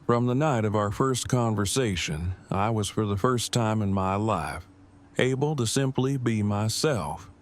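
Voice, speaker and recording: A man playing an awkward, bookish groom in an audio drama, sincere, with stiff, measured, wordy phrasing. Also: fairly low pitch, lightly acted. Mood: tender, sincere. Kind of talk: fan audio drama acting.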